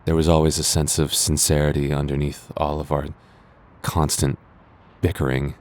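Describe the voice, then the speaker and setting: A man's voice: extremely low pitch, flat delivery, normal speed, darkish tone. A man playing a teasing, easily embarrassed childhood friend confessing love in a boyfriend roleplay, stammering and hesitant. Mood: embarrassed, sincere. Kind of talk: boyfriend roleplay audio drama.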